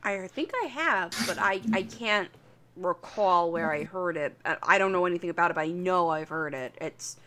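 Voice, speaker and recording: A woman's voice: lowish voice, normal speed, sharp tone. A woman co-hosting a casual podcast, speaking hesitantly and apologetically, with casual swearing. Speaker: podcast co-host.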